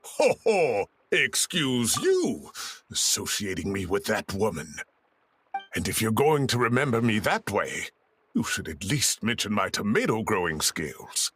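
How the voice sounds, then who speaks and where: A man's voice: on the low side, big pitch swings, unhurried, a bit bright, a little hiss or hum. An actor playing a jovial, proud old male scholar in a video game, with a blustery, avuncular and easily huffy manner. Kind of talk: animated video game character acting.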